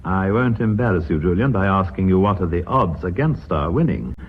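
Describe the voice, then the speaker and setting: An actor playing a formal, authoritative board chairman in a British radio drama, speaking in measured, long sentences. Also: low voice, lively intonation, slightly rough. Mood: brisk, presiding. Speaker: company chairman. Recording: muffled, band-limited old radio recording.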